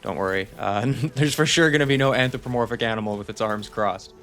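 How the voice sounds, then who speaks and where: Young guy rambling thru a channel update, lowish gravelly voice, faint music behind. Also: fairly quick, fairly bright tone. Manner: rambling, self-deprecating, reassuring. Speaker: channel host. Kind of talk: casual channel update monologue.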